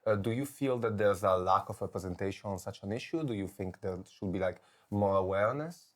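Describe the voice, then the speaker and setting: A man working as a reporter, asking a film director polite, measured interview questions. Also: on the low side.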